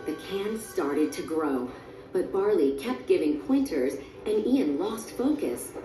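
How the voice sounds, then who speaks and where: A woman's voice: on the low side, lively intonation, slow, reverberant, distant mic, low-quality recording. A woman reading a scripted children's story as a storybook narrator. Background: music.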